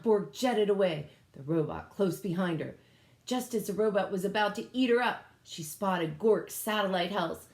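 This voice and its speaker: A woman reading a storybook aloud to children in a dramatic, emphatic, expressive way. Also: mid-range voice. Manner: dramatic, emphatic, engages listeners with questions. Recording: echoey room.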